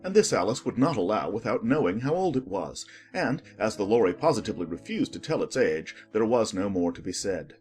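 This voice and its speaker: A man narrating a children's classic as an audiobook, in expressive scripted storybook style. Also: lowish voice, a little fast, hardly any pauses, faint music behind.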